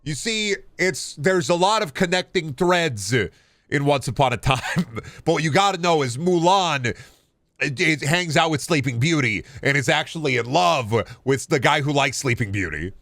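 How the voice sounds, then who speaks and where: A man chatting casually as a video game streamer, rambling in a halting way and often repeating phrases. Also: fairly high pitch, animated, vocal fry, a bit bright, no echo, clean studio sound. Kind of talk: casual rambling livestream chat.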